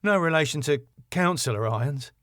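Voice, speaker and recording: A man's voice: fairly high pitch, expressive, moderate pace, a bit bright, no room sound, pitched above the performer's own voice. A laid-back British lad of a sitcom, played by a man reading aloud. He is matey, blunt and cheeky, with very dry, close sound. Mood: blunt, wry.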